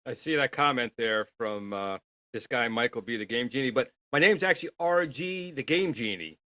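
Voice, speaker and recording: Bright-voiced man in casual gaming chat. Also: medium pitch, expressive, moderate pace, no room sound. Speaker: panelist on a gaming news show.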